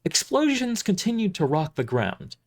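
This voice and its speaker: A man narrating a first-person story live, reading aloud a little haltingly with self-corrections. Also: high-pitched, big pitch swings. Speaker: narrator and performer of own audio drama.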